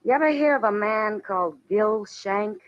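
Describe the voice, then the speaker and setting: A woman's voice: medium pitch, moderate pace, lightly acted. A cool, shrewd frontier saloon woman played by an actress with an American accent. She talks in a dry, understated way in 1950s radio drama style, and the old recording is muffled. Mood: hesitant.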